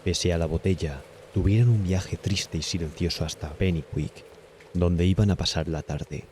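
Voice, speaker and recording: A man's voice: sits low, fairly even intonation, moderate pace, darkish tone, slight background noise. A man with a Castilian Spanish accent narrating a scripted horror story as an audiobook.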